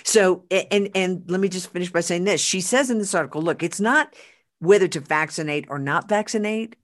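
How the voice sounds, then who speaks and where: A podcast host speaking emphatically in casual chat, often repeating words and slowing down for quoted lines. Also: medium pitch.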